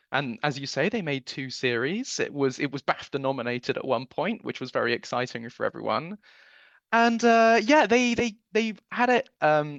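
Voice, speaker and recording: A man's voice: medium pitch, a bit bright, dry recording, dull audio. A man giving chatty, enthusiastic interview answers as a producer, recounting memories in a conversational way.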